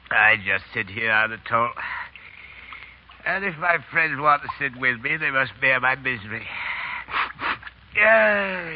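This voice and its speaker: An actor in a 1930s radio drama playing a grumbling, wryly dismissive French writer in a theatrical, muttering manner. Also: male voice, mid-range voice, close to an everyday voice. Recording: dull, hissy 1930s radio recording.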